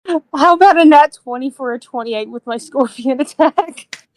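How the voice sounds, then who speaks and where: A woman's voice: high voice, moderate pace. A woman chatting casually as a player in a tabletop role-playing game.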